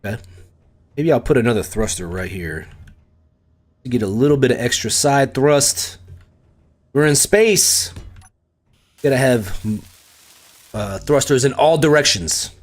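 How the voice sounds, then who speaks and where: A middle-aged American man talks casually to his live chat while he plays. He thinks out loud as he builds and often pokes fun at himself. His voice sits at an ordinary male pitch. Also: animated, unhurried, long pauses. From United States. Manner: chatty, self-deprecating, thinks aloud and talks to chat. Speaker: game streamer.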